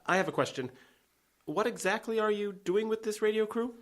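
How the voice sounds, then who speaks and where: Male, actor as earnest small-town conspirator, lightish high voice, hesitant, placating radio-play delivery. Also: brisk, natural voice. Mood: questioning, uneasy. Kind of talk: audio drama acting.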